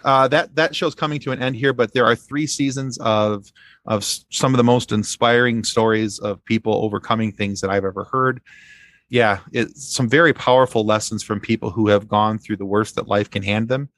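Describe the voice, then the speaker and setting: A man's voice: on the low side, fairly even intonation, normal speed, fairly bright tone, dry recording. A man talking casually as a guest on a podcast, earnest and effusive.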